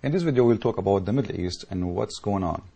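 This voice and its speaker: Male commentator, English, dark mellow husky voice, heated monologue with repeated restarts. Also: lowish voice, lively intonation, quick pace. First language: Arabic. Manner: rhetorical questions, repetitive restarts, emphatic. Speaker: political commentator. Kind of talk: impassioned monologue to camera.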